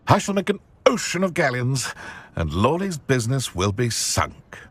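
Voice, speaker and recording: A vengeful British wizard trader for a fantasy game. His voice is rough and swings widely in pitch, dry and close. He gloats theatrically as he schemes. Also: very animated, moderate pace, a bit bright, no room sound. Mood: gloating, scheming. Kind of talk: video game voice acting.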